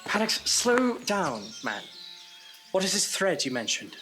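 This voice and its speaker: An actor playing an earnest, troubled, courteous Victorian gentleman in an audio drama, sincere and questioning. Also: sits high, sing-song, a little slow, over music. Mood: puzzled.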